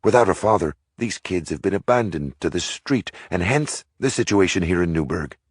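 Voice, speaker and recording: A man's voice: deep voice, animated, normal speed, slightly rough, no room sound, studio quality, voice pushed up. A man doing an old Irish priest lecturing. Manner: lecturing, earnest.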